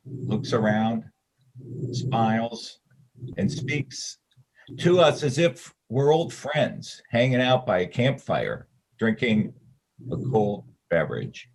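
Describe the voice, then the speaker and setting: A man's voice: lowish voice, breathy edge, poor audio. A man narrating stage directions for a readers theater piece in a scripted reading style.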